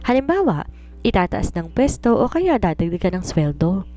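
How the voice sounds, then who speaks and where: A woman's voice: mid-range voice, very animated, normal speed, dark tone, lightly acted. A woman voicing a confident romance writer in an audiobook, giving earnest, instructive advice like a mentor. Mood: preachy. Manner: earnest, instructive, self-assured. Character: confident, preachy woman romance writer advising her maid. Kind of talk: audiobook character dialogue.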